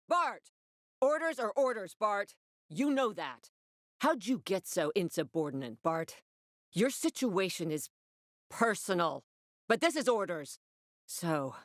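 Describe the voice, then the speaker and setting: Low-voiced, tough military officer. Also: female voice, dry recording, clean studio sound. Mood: angry, commanding, then tense, gruff. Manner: clipped, authoritative, no-nonsense. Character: tough, commanding female military officer, curt and foul-mouthed. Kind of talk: video game voice acting.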